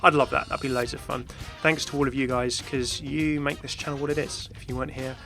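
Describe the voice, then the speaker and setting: Chatty man with bright, creaky voice. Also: mid-range voice, flat delivery, fairly quick, soft background music. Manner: chatty, rambling. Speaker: gaming YouTuber. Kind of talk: casual unscripted video commentary and channel update.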